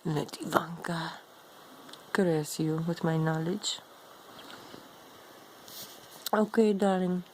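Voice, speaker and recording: A woman playing an ancient female vampire demon in an improvised role play, speaking in a haughty, teasing, seductive way with a gravelly voice. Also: a little mellow, slight background noise, natural voice, low-quality recording. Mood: condescending, coaxing. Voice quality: gravelly, low, creaky. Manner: slow, haughty, teasing, calls listener darling. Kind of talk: improvised in-character role play monologue to viewer.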